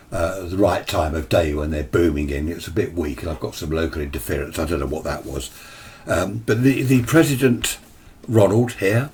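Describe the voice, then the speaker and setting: A British man with a very low voice chats casually through a hobby demonstration. He rambles in a matter-of-fact way and puts questions to himself. A faint noise sits behind him. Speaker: amateur radio hobbyist presenter. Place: indoor room with radio gear. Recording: steady background noise.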